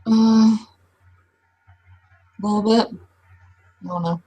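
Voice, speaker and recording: A woman running and playing a casual, improvised tabletop role-playing game, talking in a halting, informal way. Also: mid-range voice, fairly even intonation, warm tone, slightly rough. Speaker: game master and player.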